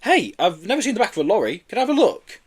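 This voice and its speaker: A man voicing an anxious, quick-thinking boy in a children's story, animated and urgent. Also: high voice, husky, voice pushed up, full character voice. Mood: covering up.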